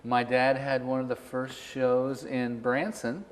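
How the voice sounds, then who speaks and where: Man, middle-aged, from Missouri, musician guest, casual storytelling, mid-pitched, noticeable room reverb. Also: flat delivery, takes it slowly. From Missouri, United States. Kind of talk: casual chat, telling personal history.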